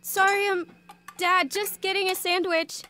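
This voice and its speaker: A high, pleading young daughter. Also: female voice, little pitch movement, a little slow, sharp tone, soft background music, heavily acted. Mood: apologetic, flustered. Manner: stammering, apologetic, pleading. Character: timid, pleading young daughter in her late teens. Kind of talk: radio drama acting.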